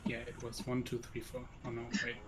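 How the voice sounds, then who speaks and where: A man's voice: mid-range voice, monotone, brisk, background noise. A man co-hosting a game stream, chatting casually over the gameplay.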